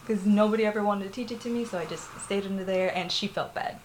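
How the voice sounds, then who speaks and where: A woman's voice: medium pitch, steady tone, brisk, darkish tone, a little hiss or hum, poor audio. A young woman from Florida with a Puerto Rican family, telling a personal story in a candid, rambling way, full of fillers and laughs. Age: young adult. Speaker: interviewee.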